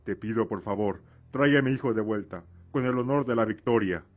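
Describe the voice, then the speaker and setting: Man acting as a solemn deep-voiced father lord. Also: a little fast, highs cut off, close to an everyday voice. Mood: pleading, reverent. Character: proud, devout middle-aged father, solemn imperial lord. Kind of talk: fantasy radio drama acting.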